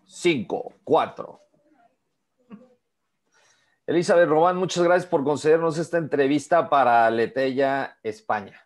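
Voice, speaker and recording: A man interviewing a guest remotely, asking his questions slowly and deliberately. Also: on the high side.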